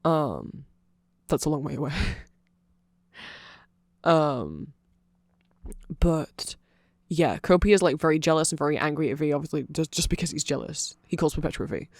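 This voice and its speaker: A man's voice: on the high side, lively intonation, moderate pace, long pauses, dry recording. A man voicing a nerdy vampire who gives a rambling, earnest and fannish ASMR explanation full of fillers. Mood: eager, chatty.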